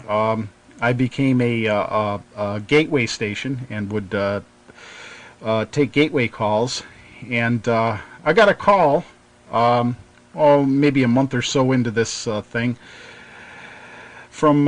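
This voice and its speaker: A middle-aged American man hosting a radio show, reminiscing casually in a halting, rambling way. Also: mid-range voice.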